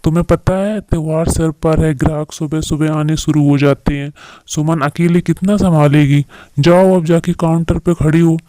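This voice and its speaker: A man's voice: a little high, steady tone, moderate pace, dark tone, dry recording, close to an everyday voice. A man voicing a stern, scolding showroom boss in a cartoon story, accusing and lecturing. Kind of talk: Hindi moral-story cartoon dubbing.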